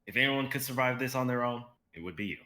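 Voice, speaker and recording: A man's voice: medium pitch, animated, quick pace, sharp tone. A young man playing a casual, loyal and supportive adventurer in a dramatic reading. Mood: warm, sincere. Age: young adult. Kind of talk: online dramatic script reading.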